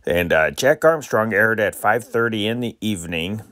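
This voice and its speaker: A man's voice: fairly low pitch, animated, normal speed, a bit bright, dry recording, clean studio sound. An American man from the Chicago area hosting casually, in a hesitant, rambling manner. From Chicago area, United States. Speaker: host. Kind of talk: casual radio-show introduction.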